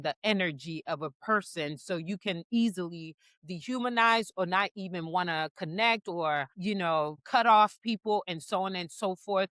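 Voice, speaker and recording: A woman co-hosting a faith-based talk show, explaining her points in a measured way. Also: lowish voice, a bit bright, no echo. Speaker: co-host. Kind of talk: casual faith-based discussion.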